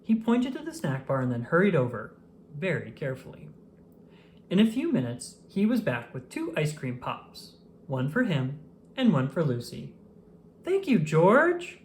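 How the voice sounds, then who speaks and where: A woman reading a children's picture book aloud in an expressive storytelling manner. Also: sits low, moderate pace, slight background noise. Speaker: storybook reader. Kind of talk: children's read-aloud narration.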